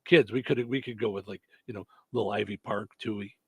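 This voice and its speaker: A man's voice: fairly low pitch, fairly even intonation, brisk, husky, dry recording. A man hosting a radio show in casual chat, a comedian telling rambling stories full of fillers and restarts. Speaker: stand-up comedian.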